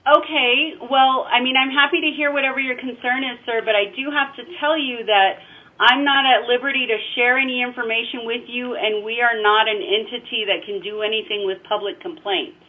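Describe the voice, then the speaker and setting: An American woman, an office supervisor, speaking politely and officially in a measured way on a formal phone call. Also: fairly high pitch, steady tone. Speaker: county attorney's office supervisor. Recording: narrowband telephone line.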